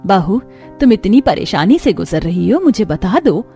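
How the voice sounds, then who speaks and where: A kindly middle-aged mother-in-law from a cartoon family drama, played by a woman narrator. She is patient and gentle. Her mellow voice reassures at every turn. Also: mid-range voice, sing-song, a little slow, faint music behind. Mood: concerned. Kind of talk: cartoon story dialogue.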